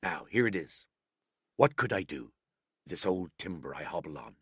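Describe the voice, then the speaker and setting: A hearty old one-legged cook, as a man puts him on, blustering. His voice swoops wildly and grinds with gravel. The sound is dry and close, with a bright, husky edge. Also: sing-song, moderate pace, no echo, exaggerated voice. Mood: confiding. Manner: blustering, booming sea-dog bluster.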